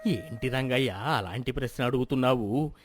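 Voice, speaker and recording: A man voicing a wise, calm old village elder in a moral story, measured and admonishing. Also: mid-range voice, pitched below the performer's own voice, natural voice. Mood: calm, advising. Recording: music behind the voice.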